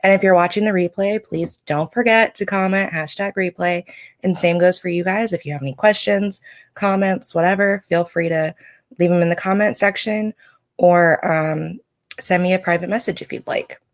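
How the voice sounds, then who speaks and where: A woman hosting a livestream, reading oracle cards and chatting to viewers in a casual, reflective way, her throat scratchy and needing clearing. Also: lowish voice, fairly even intonation, normal speed, dry recording.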